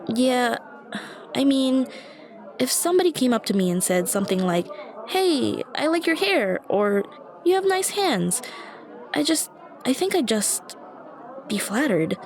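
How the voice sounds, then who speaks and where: A woman's voice: sits high, very animated, a little slow, long pauses, exaggerated voice. A woman playing a shy, anxious young stranger who rambles hesitantly and self-consciously to the listener in an ASMR roleplay. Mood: awkward, eager. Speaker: roleplay voice actress. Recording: busy cafe chatter behind voice. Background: coffee shop crowd murmur.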